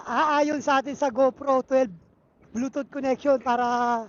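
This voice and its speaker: A Filipino motovlogger talking casually and haltingly while riding a motorcycle, speaking through a helmet intercom. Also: high-pitched, fairly even intonation. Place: on a motorcycle outdoors in traffic. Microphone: Bluetooth helmet intercom microphone. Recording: muffled helmet intercom with loud road noise. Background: vehicle and road noise.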